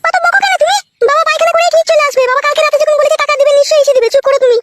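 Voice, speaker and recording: An actor voicing a coy, quarrelsome young woman in a comic cartoon, with an artificially pitched-up voice. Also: steady tone, very fast, fairly bright tone. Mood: indignant.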